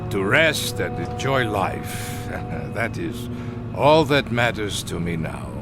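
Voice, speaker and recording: An actor playing an older former Nazi officer posing as a tourist in a radio drama, evasive and self-pitying, stammering and repeating words. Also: male voice, fairly low pitch, big pitch swings, slow, music in the background, exaggerated voice.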